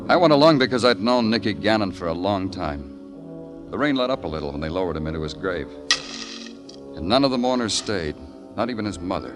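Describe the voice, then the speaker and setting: An American actor playing a world-weary, sardonic police detective in a radio noir, terse and brooding in dialogue and narration. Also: male voice, low voice, animated, fairly quick. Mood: brooding, wry. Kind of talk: noir scripted narration and 1950s radio acting. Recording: old radio recording with music behind.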